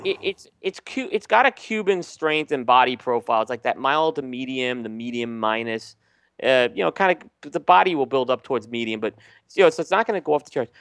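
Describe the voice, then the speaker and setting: Relaxed, smooth-voiced male co-host. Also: mid-range voice, fairly quick, no echo, studio quality. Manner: relaxed, many ums and restarts. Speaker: podcast co-host. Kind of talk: casual chat reviewing cigars.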